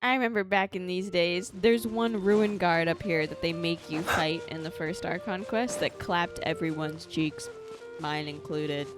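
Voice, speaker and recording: A young woman doing casual livestream game commentary, expressive and theatrical, giggly and slangy. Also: fairly low pitch, measured pace, over music. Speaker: live streamer.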